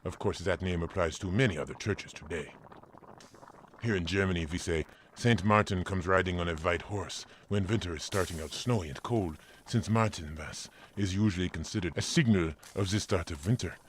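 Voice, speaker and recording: A man playing a kindly German village priest in a family audio drama, telling stories in a measured, fatherly way. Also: deep voice, slight background noise, natural voice. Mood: storytelling.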